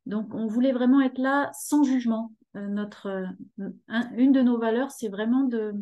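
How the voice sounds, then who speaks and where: A woman's voice: on the high side, fairly even intonation, normal speed, warm tone, highs cut off. A woman in her fifties talking at length on a video call from her living room, hesitating often. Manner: talkative, hesitant with many fillers. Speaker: guest, horse rescue association member. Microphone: laptop webcam on video call.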